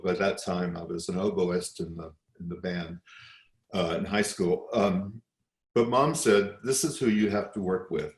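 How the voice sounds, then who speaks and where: An older American man from South Texas speaks in a notably low register. He talks reflectively as an interview guest, often doubling back and restarting phrases. The room adds a clear echo around his voice. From South Texas, United States.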